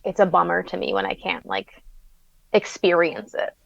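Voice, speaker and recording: A woman's voice: on the low side, expressive, normal speed, vocal fry, fairly bright tone, no echo. Upbeat woman guest on a dull line. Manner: upbeat, giggly fan talk with many "like"s. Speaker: podcast guest. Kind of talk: casual conversation.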